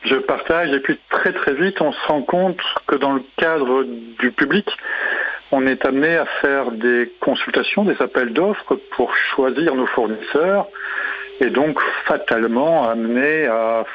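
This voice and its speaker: A man's voice: mid-range voice, a little slow. A man speaking as a guest in a radio interview, explaining methodically and building his points in lists. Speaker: interview guest, local government digital official. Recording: slightly dull, lo-fi radio sound.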